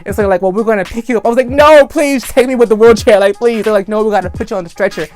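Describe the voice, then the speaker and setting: A young man with a high-pitched voice telling a dramatic personal story, acting out screams and quoting people as he goes. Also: racing. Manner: animated, acts out screams and quotes. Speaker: storytime vlogger. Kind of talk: casual storytime.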